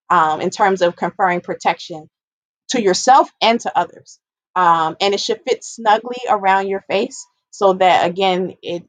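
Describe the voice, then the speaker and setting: A woman's voice: medium pitch, no room sound, highs cut off. A woman giving an informal, explanatory public health presentation, stressing and repeating her key points as an educator.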